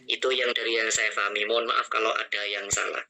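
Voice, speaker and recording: Bright-voiced man, halting. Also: fairly low pitch, level tone. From Madura. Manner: hesitant, many fillers and restarts. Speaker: student. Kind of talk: answering in an online class. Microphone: video call.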